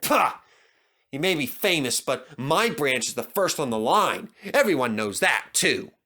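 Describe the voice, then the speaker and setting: A man's voice: a little high, sing-song, a little slow, sharp tone, exaggerated voice. Man doing a huffy, boastful little engine. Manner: huffy, dismissive. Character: jealous, boastful, huffy male tank engine. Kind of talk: children's story character voice.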